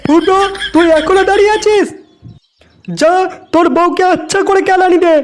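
A man's voice: very high voice, steady tone, moderate pace. A man voicing an angry, mocking, foul-mouthed village man in a comedy cartoon, in a brash scolding manner. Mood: furious. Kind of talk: exaggerated cartoon comedy dub.

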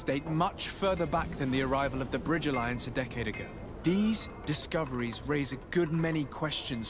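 An actor playing a polite, earnest young noble emissary in a video game, speaking formally and diplomatically. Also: male voice, a little high, close to an everyday voice. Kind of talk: video game dialogue acting.

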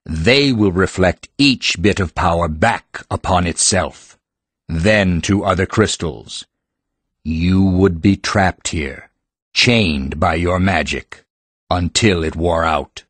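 A solemn, ancient stone creature from a fantasy audiobook. His voice is very deep and very slow, with formal, teacherly phrasing and a dry, close sound. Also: a bit bright, dry recording, heavily acted. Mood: solemn, instructive. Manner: formal, pedantic, measured wording. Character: ancient living mountain heart, solemn male stone teacher.

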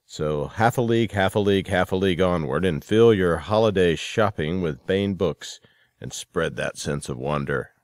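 Very low-voiced man hosting a podcast. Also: animated, normal speed, no echo, clean studio sound. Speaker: podcast host. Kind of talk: scripted promotional reading and podcast introductions.

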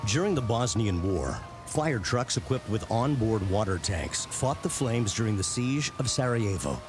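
A man reading scripted narration for a documentary series in a measured, punchy way. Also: fairly low pitch, big pitch swings. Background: prominent background music.

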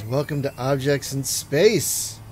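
A man getting over a cold, phlegmy and clearing his throat, chatting casually through a game with many ums and trailing remarks. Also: mid-range voice, a little hiss or hum. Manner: chatty, frequent ums and uhs, trailing off quietly. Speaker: gaming video host. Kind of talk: casual let's-play commentary.

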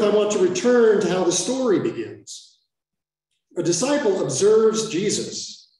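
A man's voice: high-pitched, dark tone, distant mic. Older man preaching in an echoey church. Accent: American. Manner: earnest, explanatory preaching with self-aware asides. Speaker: priest. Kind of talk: sermon. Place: large church sanctuary. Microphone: far camera.

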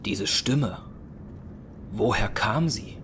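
A man narrating a scripted audio drama as a measured storyteller. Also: on the low side, lively intonation, fairly bright tone, a little hiss or hum. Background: music.

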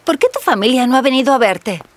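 Young princess surgeon acted by a woman, caring, teasing, animated high voice, cartoon fairy tale. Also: quick pace, slight background noise. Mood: warm.

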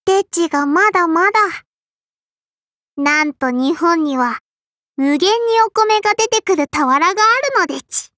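A woman's voice: very high-pitched, expressive, a little slow, fairly bright tone, no room sound, clean studio sound, exaggerated voice. A woman voicing a small, strict but kindly and dutiful sparrow girl for an anime game, in a childlike lisping voice with formal, cutesy phrasing. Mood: prim, admonishing. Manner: formal yet cutesy, chirping verbal tics. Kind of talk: Japanese anime game character lines.

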